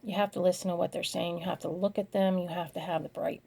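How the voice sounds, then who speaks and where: A middle-aged woman giving a slide presentation in an earnest, halting way, speaking in short phrases. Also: lowish voice, fairly even intonation, creaky, darkish tone, slightly rough.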